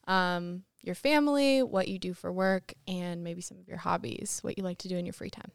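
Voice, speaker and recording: A woman in her twenties co-hosting a church podcast, upbeat and quick to laugh. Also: fairly low pitch, gravelly, no room sound, studio quality. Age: 20s. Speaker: podcast co-host.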